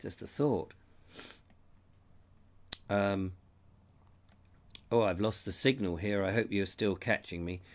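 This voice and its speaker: A man's voice: on the low side, slow, long pauses. Middle-aged English man with a cold. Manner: rambling, chatty, reads comments aloud. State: has a cold, losing his voice. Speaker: show host. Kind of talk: live-stream chat reading viewer comments. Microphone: lapel mic.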